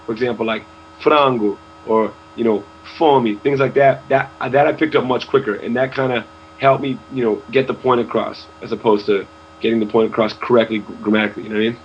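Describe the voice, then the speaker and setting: A man's voice: medium pitch, steady tone, fairly quick, muffled recording, slight background noise. A young man, a rap artist, speaking casually as an interview guest, often restarting words and saying "you know".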